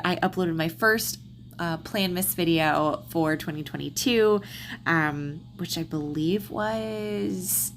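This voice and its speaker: Casting: Southern woman, rambling planner vlogger, slightly stuffy nose, lowish voice, faint hum. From Texas, USA. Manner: chatty, rambling, many ums and restarts. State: slightly congested. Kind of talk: casual plan-with-me voiceover.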